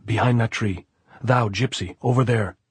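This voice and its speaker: A man's voice: lowish voice, normal speed, slightly rough, dry recording. A man voicing a fierce, blunt middle-aged guerrilla woman who scolds and commands in old-fashioned phrasing in an audiobook. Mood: commanding.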